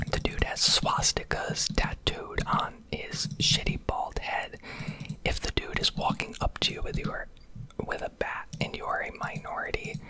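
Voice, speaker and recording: Whispering young American woman. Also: low-quality recording. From Connecticut, United States. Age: young adult. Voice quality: breathy, unvoiced whisper. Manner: blunt, sweary, rambling. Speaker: ASMR streamer. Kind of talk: whispered ASMR rambling rant over gameplay.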